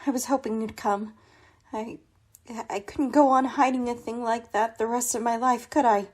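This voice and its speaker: A woman's voice: fairly high pitch, fairly even intonation, moderate pace, close to an everyday voice. An actress playing a guilt-ridden, anxious young woman in a radio drama, hesitant, earnest and troubled. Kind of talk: old-time radio drama acting.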